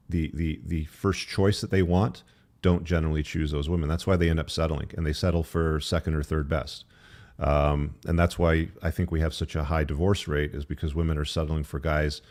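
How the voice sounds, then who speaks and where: A man's voice: very deep voice, a little mellow. A man speaking as an interview guest, explaining his opinions in a conversational, opinionated way. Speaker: podcast guest, author.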